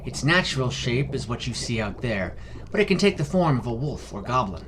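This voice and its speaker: A man's voice: medium pitch, sing-song, fairly quick, background noise, close to an everyday voice. A man voicing a knowledgeable village druid who explains things in a wry, folksy way. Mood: knowing.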